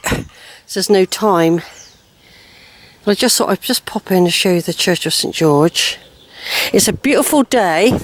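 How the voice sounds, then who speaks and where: A woman with an English accent gives casual, unscripted commentary while filming a walk outdoors, rambling and correcting herself as she goes. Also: mid-range voice. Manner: chatty, rambling, self-correcting. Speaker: walker filming a hike vlog. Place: outdoors on a village lane. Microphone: handheld camera or phone mic. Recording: handheld outdoor recording, faint noise, clicks.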